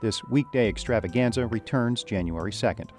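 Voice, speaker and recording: A man's voice: lowish voice, a little fast, darkish tone. A man hosting a radio program, reading a scripted sign-off. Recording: music under the voice. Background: music.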